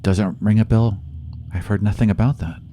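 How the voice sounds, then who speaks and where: A man playing a hesitant, gentle young god visiting from the future in a tabletop roleplay, speaking in halting, apologetic phrases. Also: fairly low pitch, steady tone, slight background noise, natural voice. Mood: hesitant, hopeful. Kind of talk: improvised in-character tabletop roleplay. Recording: music playing under the voice.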